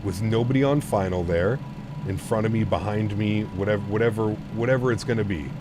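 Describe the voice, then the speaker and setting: A man with a deep voice streaming a flight sim, talking straight to his chat and explaining himself at length with repeated restarts. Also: a little fast, noisy background. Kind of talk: casual live-stream chat.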